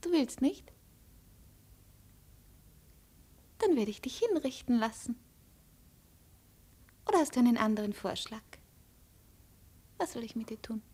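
Haughty cold princess, high voice, old fifties radio drama, very imperious. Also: female voice, lively intonation, moderate pace, pauses often, warm tone, breathy edge. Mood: cold, threatening. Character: proud, cold, mocking Chinese princess. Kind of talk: 1950s German radio play acting. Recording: 1950s radio drama recording.

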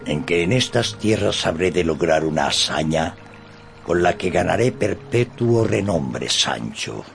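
An actor playing a deluded, love-struck old knight errant with pompous, flowery declamation in a radio drama. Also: male voice, deep voice, expressive, measured pace, creaky, heavily acted. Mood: lovelorn, solemn. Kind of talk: Spanish-language radio drama acting. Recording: studio radio drama with background music.

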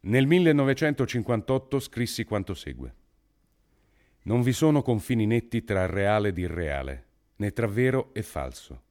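A man playing an earnest writer who gives a formal public speech in a measured, deliberate, rhetorical way. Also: on the low side, very animated, darkish tone. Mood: solemn, earnest. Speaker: actor reading a writer's speech. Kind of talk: declamatory dramatic monologue, formal speech.

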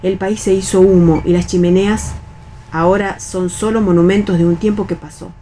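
An actress playing a resigned, bossy veteran factory worker in a radio play, with an Argentine accent and a colloquial, admonishing way of talking. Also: female voice, mid-range voice, steady tone, moderate pace, dark tone, a little hiss or hum, lightly acted. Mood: weary, resigned. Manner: colloquial, admonishing, world-weary. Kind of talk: radio read theatre.

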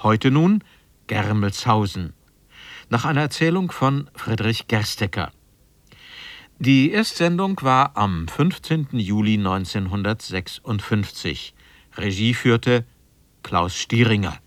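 Male radio presenter, lowish voice, dry studio sound, scripted, formal, factual, measured. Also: expressive, dry recording.

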